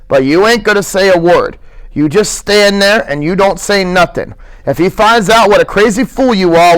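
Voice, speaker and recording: A small, short-tempered working man who scolds and grumbles in a high, irritable voice, as a male reader puts him on. He talks with gruff impatience in this storybook reading. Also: fairly bright tone, poor audio. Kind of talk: audiobook character reading.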